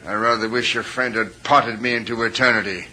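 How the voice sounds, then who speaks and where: Sharp, bitter island husband. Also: male voice, medium pitch, fairly even intonation, a little fast, rough recording. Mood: bitter, sardonic. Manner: sardonic, theatrical, ornate phrasing. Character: bitter, world-weary island husband guarding hidden gold. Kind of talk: old-time radio drama acting. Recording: old radio recording with faint hiss.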